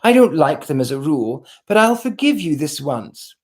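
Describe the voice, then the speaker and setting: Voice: mid-range voice, big pitch swings, moderate pace, lightly acted. A reader voicing a chatty, frank young American society woman in a novel read aloud, with breezy, naive chatter. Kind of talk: audiobook character voice.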